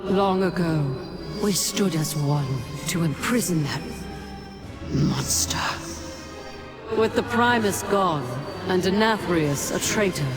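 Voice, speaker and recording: An actress playing a commanding deity in a fantasy video game, grave, imperious and declamatory. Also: female voice, on the low side, slow pace, over music. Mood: grave, ominous. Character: regal, commanding female deity of a fantasy afterlife. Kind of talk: video game cinematic acting.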